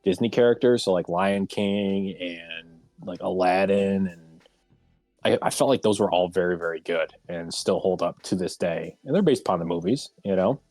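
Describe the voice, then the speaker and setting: A man chatting casually as a panelist in a group discussion, often pausing as he searches for what to say. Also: low-pitched, darkish tone. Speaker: round table panelist. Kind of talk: casual group discussion about games and films. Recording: roomy echo, music underneath.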